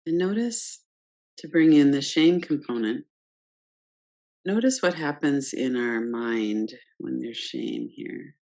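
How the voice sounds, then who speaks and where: A woman teaching guided meditation, speaking calmly and gently in short phrases with pauses between them. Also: very deep voice, muffled recording. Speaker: yoga nidra meditation teacher. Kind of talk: guided meditation, unscripted instruction.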